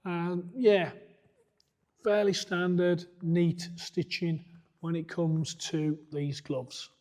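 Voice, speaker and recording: Man, boxing coach, hoarse from shouting, mellow tone, plain methodical review delivery. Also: sits high, flat delivery, takes it slowly. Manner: methodical, plain-spoken, point by point. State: hoarse, losing his voice. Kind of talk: product review walkthrough.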